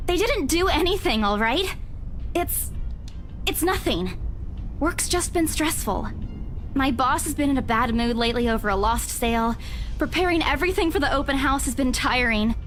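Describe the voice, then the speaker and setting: High-pitched, defensive young woman. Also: lively intonation, moderate pace, a bit bright, soft background music. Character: flustered, defensive young woman, a real estate agent. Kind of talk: visual novel voice acting.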